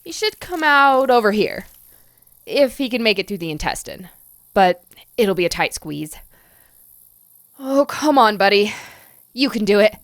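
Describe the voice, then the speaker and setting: A woman's voice: mid-range voice, big pitch swings, unhurried, long pauses, dry recording. A woman playing an earnest, anxious scientist who gives urgent, commanding instructions in an audio drama. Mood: worried. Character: earnest, urgent young scientist coaching a trapped friend.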